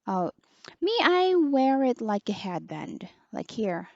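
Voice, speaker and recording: A young woman with a soft, low voice, slowly reviewing a headset as an online teacher, pausing often with fillers. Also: very animated, creaky, no echo, muffled recording. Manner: slow, hesitant, many pauses and fillers. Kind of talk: casual product review.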